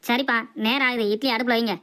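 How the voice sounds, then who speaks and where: A woman's voice: a little high, flat delivery, quick pace, a bit bright, natural voice. A woman voicing a cheeky, food-loving young son in a comic cartoon. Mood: teasing.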